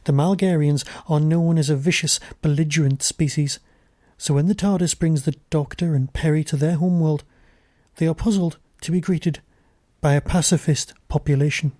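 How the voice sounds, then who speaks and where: A man's voice: on the high side, steady tone, moderate pace, mellow, no room sound, studio quality. A man presenting a podcast review as host, in scripted commentary style.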